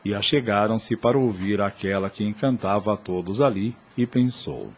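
A man narrating a radio drama in a measured, literary storytelling style. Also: fairly low pitch, animated, slightly rough.

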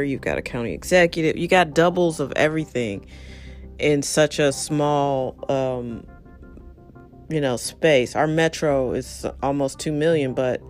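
Hoarse, frustrated speaker venting over faint music. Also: medium pitch. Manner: frustrated, blunt, ranting. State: hoarse, losing voice. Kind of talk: casual spoken monologue.

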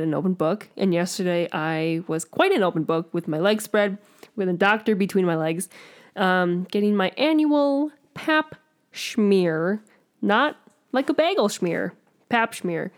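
A young woman co-hosting a podcast, telling a candid story in a giggly, animated way. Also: fairly low pitch, unhurried. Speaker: podcast co-host. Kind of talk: casual podcast chat.

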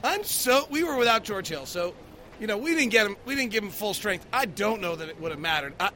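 A man's voice: high voice, sharp tone, slight background noise. A man hosting a post-game sports show, giving casual, emphatic basketball analysis and stories with a taste for exaggeration. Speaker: sports podcast host, basketball broadcaster.

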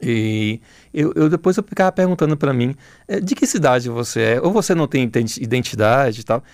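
Middle aged bahian guy being interviewed, creaky voice, dry close sound, keeps repeating words while he thinks. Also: medium pitch, expressive, darkish tone, dry recording. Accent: Bahian Brazilian. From interior of Bahia, Brazil. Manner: halting, repeats words while searching for phrasing. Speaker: interview guest, writer. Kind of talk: reflective interview conversation.

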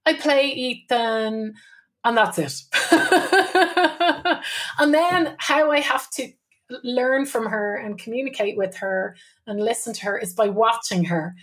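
A talkative middle-aged Irish woman with a slightly raised pitch tells her story to a podcast host. She speaks loosely and colloquially, laughing easily as she goes. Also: a bit bright. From Ireland. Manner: chatty, laughs often, colloquial. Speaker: guest, business owner and mother. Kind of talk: podcast interview, candid storytelling.

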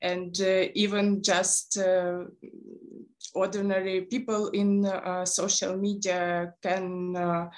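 A young woman from eastern Ukraine with a Ukrainian accent, speaking as a guest in an interview, hesitant with many pauses and fillers. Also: medium pitch, level tone. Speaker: guest speaker, Fulbright coordinator. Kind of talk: interview conversation.

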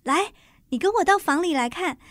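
A woman's voice: very high-pitched, lively intonation, normal speed, a bit bright, no echo, clean studio sound. A woman acting in a radio drama as a wealthy, generous, breezy lady with a showy manner.

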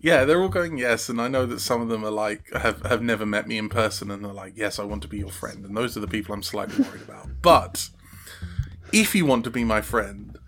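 A man speaking as a podcast guest, telling anecdotes casually in an animated, whimsical way. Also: fairly low pitch, a little fast, slight background noise. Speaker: podcast guest, audio drama sound designer and musician.

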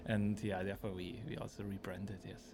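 A man's voice: sits low, flat delivery, fairly quick, darkish tone, breathy edge. A man with an Austrian accent and German as his first language, talking reflectively as an architect being interviewed.

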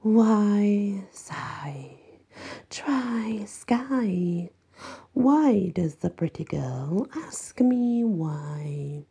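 A woman reading an audiobook, voicing a smug, unbalanced ancient male mummy with slow theatrical delivery. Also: medium pitch, expressive, creaky, warm tone, husky, voice pushed up, full character voice. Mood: unhinged, teasing. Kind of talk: audiobook character voice.

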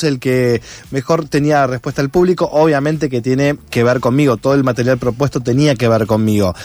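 An Argentine man giving conversational interview answers, reflective and halting as he rephrases his thoughts. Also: medium pitch, darkish tone, dry recording. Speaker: interviewed actor and content creator.

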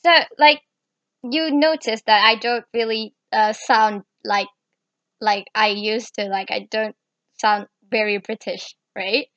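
A woman's voice: on the high side, fairly even intonation, takes it slowly, fairly bright tone, no room sound, lo-fi sound. A teenage girl hosting a chat, often stuttering and restarting, a native Indonesian speaker. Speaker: podcast host. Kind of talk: casual chat.

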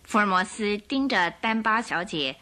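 A woman's voice: medium pitch, expressive, moderate pace, bright, forceful tone. A woman narrator reading scripted dramatic story passages for a radio drama.

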